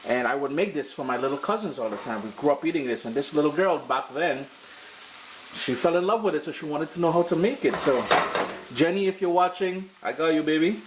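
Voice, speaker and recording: A man's voice: on the high side, animated, fairly quick, heavy background noise. Upbeat stuffy-nosed Caribbean man cooking. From Caribbean island. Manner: upbeat, chatty, slangy. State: stuffy nose from allergies. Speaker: cooking show host. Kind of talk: casual cooking demonstration. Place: home kitchen.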